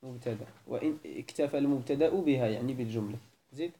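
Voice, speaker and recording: Man, Moroccan accent, grammar teacher, mellow tone, middle pitch, questioning, corrective. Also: quick pace, slight background noise. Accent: Moroccan Arabic. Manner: probing, repeatedly asks why and corrects. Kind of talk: classroom lesson, questioning students.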